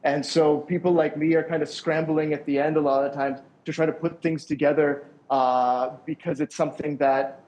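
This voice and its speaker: A man's voice: a little high, level tone, normal speed, hardly any pauses, a little mellow, rough recording. A young man, a sound engineer, answering interview questions over a call in a thoughtful, rambling way with many restarts.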